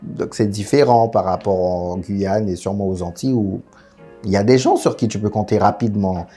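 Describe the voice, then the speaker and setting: A young man from French Guiana talks frankly and easily, his husky voice sitting low and mellow. He tells his story in a loose, casual way, full of fillers. Faint music runs beneath him. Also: moderate pace. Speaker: guest. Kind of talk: candid casual interview chat.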